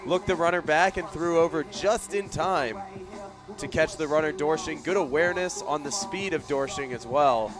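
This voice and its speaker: A man's voice: fairly high pitch, normal speed. Male baseball color commentator over music. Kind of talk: analytical baseball radio commentary. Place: outdoor ballpark broadcast.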